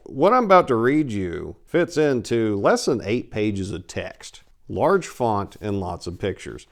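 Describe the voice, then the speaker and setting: Animated, theatrical male channel host. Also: mid-range voice. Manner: theatrical, courtly, emphatic. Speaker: host and dramatic story reader. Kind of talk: scripted channel introduction, theatrical narration.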